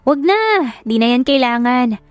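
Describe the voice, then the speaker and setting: Female performer as defiant young bride: high, emphatic, bitter, for a romance audio drama. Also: sing-song, unhurried, clear, resonant tone, no room sound, soft background music. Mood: resigned, bitter. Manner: emphatic, sharp-tongued.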